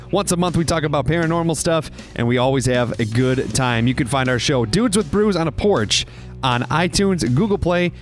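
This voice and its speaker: A man, host of another podcast, reading a scripted promo for his show in an upbeat, steady way. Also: mid-range voice. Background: faint guitar music.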